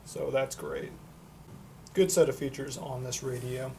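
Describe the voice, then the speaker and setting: A man's voice: mid-range voice, fairly even intonation, a little slow, darkish tone, slight background noise. A man giving a casual product demonstration as a reviewer, plain-spoken and matter-of-fact. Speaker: product reviewer for a CB radio shop.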